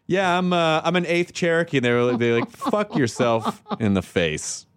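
A man's voice: a little high, animated, normal speed, smooth. A man co-hosting a podcast, chatting casually in a joking, rambling way with many restarts. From United States. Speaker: podcast co-host. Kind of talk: casual chat.

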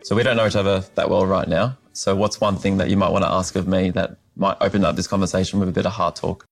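An Australian man interviewing a guest, asking slow, thoughtful questions and murmuring along as he listens. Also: deep voice, fairly even intonation, creaky, soft background music. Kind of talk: conversational interview. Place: conference venue.

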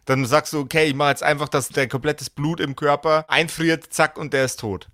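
A man's voice: fairly high pitch, fairly even intonation, moderate pace, a bit bright, no echo, clean studio sound. A man talking casually as a game master, explaining rules in colloquial language with blunt hypothetical examples. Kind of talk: casual tabletop character-creation discussion.

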